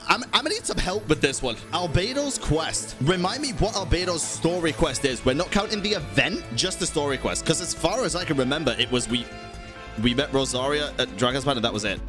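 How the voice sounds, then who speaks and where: A man streaming casual commentary to his chat, talking emphatically, repeating himself and swearing freely. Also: mid-range voice. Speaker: streamer and YouTube host.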